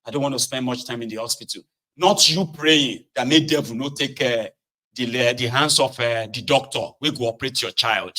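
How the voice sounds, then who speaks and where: A man's voice: medium pitch, moderate pace. A middle-aged man with a Nigerian accent who hosts a call-in talk show and slips easily between English and Pidgin. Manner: relaxed, mixes Pidgin and Yoruba with English. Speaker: host. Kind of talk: radio phone-in talk show.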